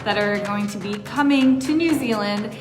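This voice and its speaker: A woman announcing slowly and deliberately. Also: medium pitch. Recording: echoey room with loud music.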